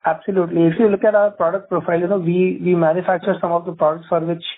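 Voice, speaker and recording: Male executive, Indian accent, slightly high voice, measured explanations over a narrow conference phone line. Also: little pitch movement. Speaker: company executive answering analysts. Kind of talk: earnings call answers.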